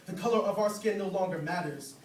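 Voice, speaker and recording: A man's voice: high voice, fairly quick. A man performing slam poetry as a spoken word poet, with a rhythmic, rhyming, declamatory delivery. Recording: roomy, distant mic, faint noise.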